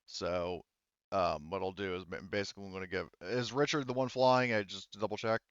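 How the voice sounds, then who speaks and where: Male game master, smooth clear voice with a dry, close sound. Casual, halting, unfiltered improvised narration. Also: low voice, steady tone, no echo, dull audio.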